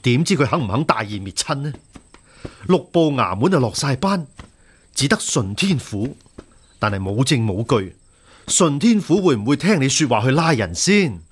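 A savvy court censor talking sense, hong kong cantonese radio play, husky and very expressive. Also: male voice, medium pitch, normal speed. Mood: cautioning, concerned. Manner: reasoning, persuasive. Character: shrewd, steady male imperial censor advising a friend. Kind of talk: radio drama acting.

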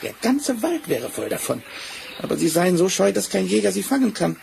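Cheeky boastful cat in a kids radio play, high croaky voice, crackly old vinyl. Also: male voice, normal speed, soft background music, heavily acted. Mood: confident, content. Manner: theatrical, self-satisfied, flattering. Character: cunning, cheerful, boastful talking tomcat in boots. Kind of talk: 1970s German fairy-tale radio play acting. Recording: noisy old vinyl record rip.

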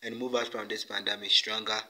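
Formal, very bright young man from Ghana. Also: fairly low pitch, little pitch movement, fairly quick, a little hiss or hum. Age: young adult. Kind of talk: recorded video message.

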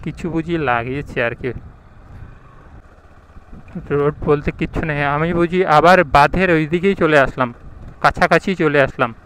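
A young man chats his way through a motorbike vlog on rural roads. His voice sits a little high and comes across smooth and clean. He wonders aloud which way to go, recorded on an action camera outdoors. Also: measured pace, pauses often, a bit bright, rough recording. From India. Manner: chatty, thinks aloud, asks passersby for directions. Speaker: motovlogger. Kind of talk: casual vlog narration while riding. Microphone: action camera mic.

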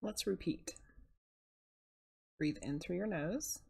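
A woman leading a guided meditation in a calm, soothing, unhurried manner, with a soft, breathy voice. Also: on the low side.

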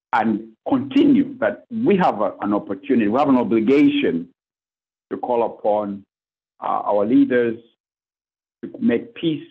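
A man's voice: fairly low pitch, vocal fry, breathy edge, narrow, tinny audio. A man from Uganda, a priest speaking on a conference panel over a video call, earnest and list-like with fillers. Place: plain indoor room, video call. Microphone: video call webcam.

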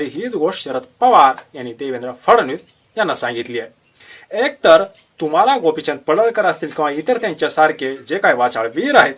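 A man with a Maharashtrian Marathi accent delivers political commentary straight to his viewers. His voice sits fairly high and swings widely in pitch. He explains things emphatically, like someone telling a story, throwing in rhetorical questions as he goes. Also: fairly bright tone, slightly rough.

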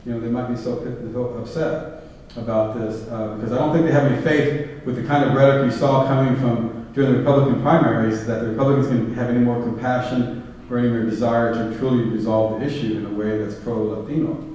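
A man's voice: medium pitch, steady tone, fast talker, dark tone, slight background noise. Man lecturing at a podium in a hall. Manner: thoughtful, many fillers and restarts. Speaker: guest speaker. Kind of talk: public talk with audience questions. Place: large hall with round tables. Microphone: podium mic, filmed from far back. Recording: echoey hall from far off.

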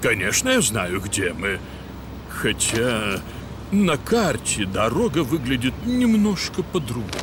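A man's voice: medium pitch, wildly swooping pitch, unhurried, noisy background. A man playing a hearty, slightly grumpy, self-assured father pig in a dubbed preschool cartoon. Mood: stubborn.

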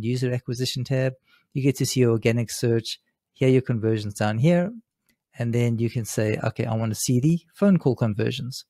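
Mellow, dry-sounding man presenting a tutorial. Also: medium pitch, dry recording. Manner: informal, unscripted explaining. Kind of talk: casual screen-share tutorial, step by step. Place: indoors, seated at a computer.

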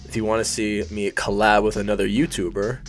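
A man's voice: lowish voice, flat delivery, a little fast, faint music behind. A young man with a sniffly, allergy-stuffed voice, casually talking through a design tutorial as a voice-over, rambling, self-deprecating and swearing now and then. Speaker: YouTube design tutorial host. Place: hot bedroom.

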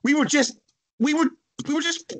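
A man's voice: very high-pitched, moderate pace. An actor playing a panicking kidnapped man in a drama read-through, stammering, frantic and repeating himself. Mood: panicked. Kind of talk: script read-through acting.

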